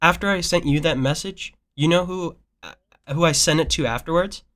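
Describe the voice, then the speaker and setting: A man's voice: on the high side, normal speed, fairly bright tone. A young man talking earnestly with a relative in a casual call, rambling with many fillers. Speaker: nephew. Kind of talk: casual phone chat.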